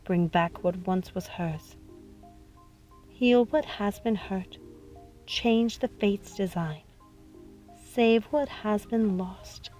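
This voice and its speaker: Woman as a grave, measured young witch. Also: medium pitch, warm tone, faint music behind, natural voice. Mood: solemn incantation. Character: grave, weary young witch, gentle but resolute.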